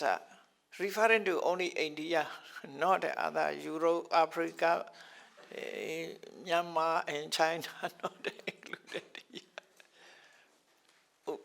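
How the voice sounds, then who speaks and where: A slightly high, gravelly older man who speaks English as a second language, teaching a class. He talks very slowly, stops and repeats each term, and chuckles often. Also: fairly bright tone. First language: not English. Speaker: Buddhist teacher. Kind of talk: explaining scriptural terms to a class.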